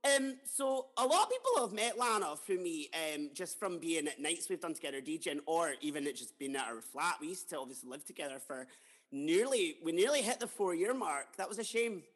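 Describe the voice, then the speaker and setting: Chatty Scottish man, higher voice. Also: animated, a little fast, a bit bright, big-room sound. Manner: chatty, swears casually, laughs along. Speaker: podcast host. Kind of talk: casual chat.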